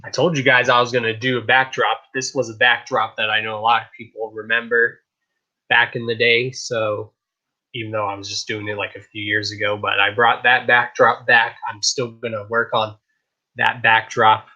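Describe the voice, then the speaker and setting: A man hosting a casual live stream show, chatting in a hyped, rambling way, his voice a little raspy. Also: medium pitch, monotone, moderate pace, sharp tone, lo-fi sound. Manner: hyped, rambling, repeats "I kid you not". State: slightly raspy voice.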